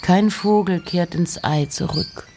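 Low-voiced woman, slow literary reading. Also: gravelly, noisy background. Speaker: reciter of poems and letters. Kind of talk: literary reading of poetry and letters.

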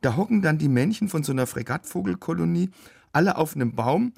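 A man with a cold and a rough, husky voice, explaining things as a biologist guest on a radio show. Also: a little high, expressive, fast talker, darkish tone. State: has a cold. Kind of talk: explanatory chat.

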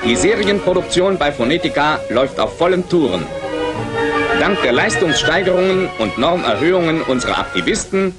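A man's voice: a little high, big pitch swings, normal speed. A man narrating a late-1940s East German radio commercial in a promotional, declamatory way, on an archival recording. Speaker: commercial announcer. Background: music.

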